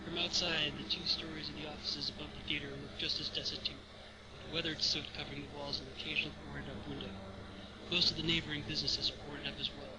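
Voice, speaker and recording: Male narrator, informal screenplay read-aloud, somewhat high voice, amateur recording, loud constant background noise. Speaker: narrator reading stage directions.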